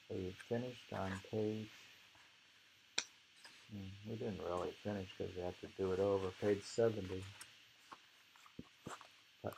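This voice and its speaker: A man with a very low, creaky voice reads aloud and comments informally. He is halting and musing, often pausing. The recording has some ambient room noise. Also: dark tone, poor audio. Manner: halting, musing, with pauses and hums. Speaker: reader and discussion host.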